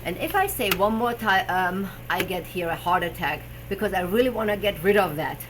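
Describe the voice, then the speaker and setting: A woman's voice: medium pitch, lively intonation, brisk, noisy background. A woman with a German accent, hosting a live stream as a personal trainer, chatting about health with frequent fillers while searching for English words. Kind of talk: casual live stream talk.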